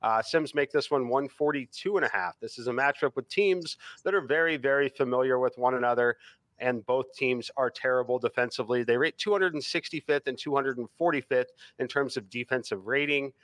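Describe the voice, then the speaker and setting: A man giving college basketball betting picks in a steady, stat-heavy way. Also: mid-range voice, brisk, no room sound. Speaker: sports betting analyst.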